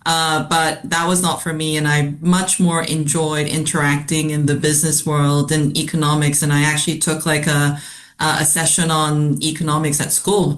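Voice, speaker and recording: A woman from South Korea, a company CFO, answering interview questions in a measured way with frequent ums and uhs. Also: low-pitched. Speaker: CFO guest. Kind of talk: podcast interview.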